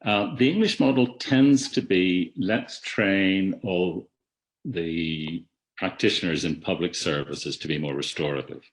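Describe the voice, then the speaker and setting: A man with a very low, deep voice lectures on theory in a webinar, heard over a video call. He speaks in a measured, reflective way, often restarting a phrase and letting his voice drop away at the ends of thoughts. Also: rough recording. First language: not an Irish speaker. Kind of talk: academic talk.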